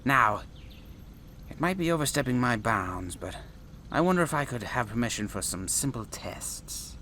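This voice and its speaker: A man's voice: mid-range voice, very animated, normal speed, bright, forceful tone, a little hiss or hum. A man playing an eccentric, insistent space traveler and professor in a sci-fi audio drama, with a theatrical, wordy, formal manner. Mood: persuasive.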